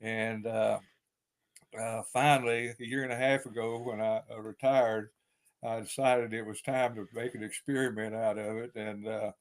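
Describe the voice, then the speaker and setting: A man's voice: fairly low pitch, little pitch movement, moderate pace. Older guy w/ thick east texas accent, lots of uh's, keeps chuckling. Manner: rambling, many fillers and restarts, chuckles often. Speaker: author guest. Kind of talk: casual interview chat.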